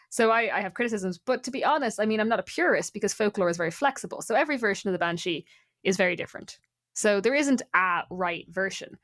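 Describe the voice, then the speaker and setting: A woman's voice: lowish voice, a bit bright, dry recording, studio quality. A woman talking casually about folklore and games, opinionated and given to rambling asides.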